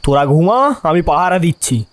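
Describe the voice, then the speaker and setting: Man voicing an animated, edgy young night watchman. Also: a little high, moderate pace. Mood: watchful. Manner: whispery urgent muttering, exasperated with friends. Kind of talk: dramatized horror audio story.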